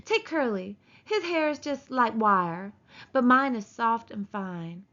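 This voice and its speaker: A woman voicing a vain, chatty young ranch wife in an audiobook, folksy and quick to take offence. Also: on the high side, expressive, a bit bright, muffled recording. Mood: complacent. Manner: folksy, complacent drawn-out speech. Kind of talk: audiobook character voice.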